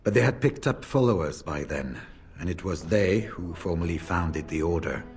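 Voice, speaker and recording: Deep-voiced seasoned veteran warrior. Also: male voice, expressive, moderate pace, a little mellow. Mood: solemn storytelling. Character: seasoned, plain-spoken veteran warrior of a warden order. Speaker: game voice actor. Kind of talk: fantasy RPG dialogue. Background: game music.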